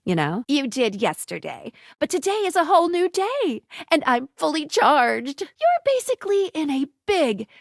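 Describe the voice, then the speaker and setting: A woman's voice: sits high, wildly swooping pitch, normal speed, gravelly, few pauses, no room sound, clean studio sound, exaggerated voice. A woman voicing a perky, quirky, teasing young female guide in a video game, with a bubbly, playful, exaggerated manner. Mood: perky, helpful. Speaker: tutorial guide in a dating simulator game. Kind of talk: video game character voice lines.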